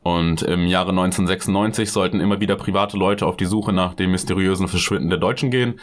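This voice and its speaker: A man co-hosting a casual true-crime podcast, joking around with crude slang and lively asides. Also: deep voice, fast. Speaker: podcast co-host.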